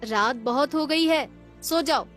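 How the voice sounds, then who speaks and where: A woman's voice: high voice, slow pace, fairly bright tone, faint music behind, pitched above the performer's own voice. A woman voicing a caring, devout village mother in a cartoon story, coaxing and affectionate. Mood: gentle, caring.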